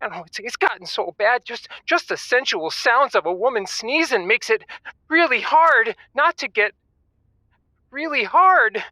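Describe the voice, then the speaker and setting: An actor playing a nervous, sexually frustrated bachelor who stammers as a caller in a comedic radio audio drama. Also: male voice, very high voice, expressive, bright, forceful tone, no room sound, full character voice. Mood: desperate. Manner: stammering, flustered, halting.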